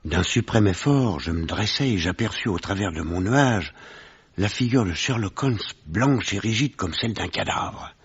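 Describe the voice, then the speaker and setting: An actor playing a grave gentleman narrator who recounts horror in measured, literary French radio drama style. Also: fairly low pitch, lively intonation, slightly rough. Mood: dread, strained. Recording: dull, noisy old radio recording.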